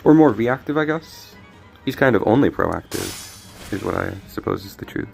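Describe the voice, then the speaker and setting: A man casually commenting on his card game as he plays, thinking aloud with frequent restarts, his voice a little raspy today. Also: fairly low pitch, expressive, normal speed, a little mellow, soft background music. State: slightly raspy voice. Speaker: streamer.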